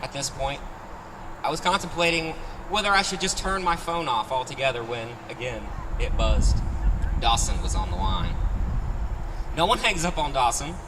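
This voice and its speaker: A man's voice: mid-range voice, normal speed. A man reading his own short story aloud in a conversational, first-person style at a live event. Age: 30s. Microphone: handheld microphone, picked up from afar. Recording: distant, reverberant live recording with street noise.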